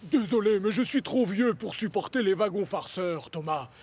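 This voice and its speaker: A man's voice: high-pitched, lively intonation, normal speed, a little mellow, husky. A man voicing a weary, hesitant elderly tram engine in a children's cartoon, with an apologetic manner. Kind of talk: animated children's cartoon dialogue.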